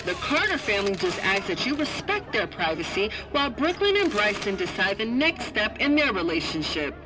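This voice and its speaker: A woman playing a poised spokesperson giving a formal statement over a loudspeaker in an audio drama. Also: mid-range voice, sing-song, moderate pace, noisy background. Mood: composed, official.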